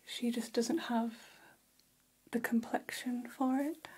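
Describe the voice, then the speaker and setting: Casting: gossipy vampire salon stylist woman acting, ASMR roleplay, very breathy whisper, slow and gentle. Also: a little high, fairly even intonation. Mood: gossipy, confiding. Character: gossipy female vampire hairstylist, attentive and chatty. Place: small decorated room, indoors.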